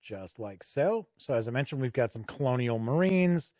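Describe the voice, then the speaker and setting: A man giving a casual product walkthrough as a reviewer, conversational and halting with frequent pauses. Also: medium pitch, lively intonation, mellow, no echo, studio quality. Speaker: tabletop game reviewer and host.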